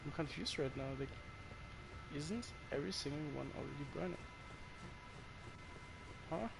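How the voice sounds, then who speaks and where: A man's voice: fairly high pitch, expressive, moderate pace, creaky, music in the background, poor audio. A man with a German accent chatting casually to his viewers while he plays, swearing when the game frustrates him. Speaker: streamer. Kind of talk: casual gameplay commentary to chat.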